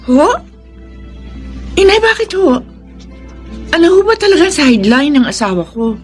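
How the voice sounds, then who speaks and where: Troubled Filipino wife, high-voiced. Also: female voice, sing-song, a little slow, faint music behind. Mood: anxious. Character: troubled, suspicious wife and mother. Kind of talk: radio drama acting.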